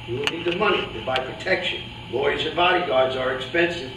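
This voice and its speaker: A man's voice: fairly high pitch, brisk, natural voice. A man playing a world-weary boxing manager in a staged reading, speaking bluntly and matter-of-factly as he lectures his fighter. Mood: cautioning. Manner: blunt, cautionary, matter-of-fact. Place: live staged reading in a roomy indoor space. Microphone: distant video camera. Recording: echoey, noisy room, distant camera microphone.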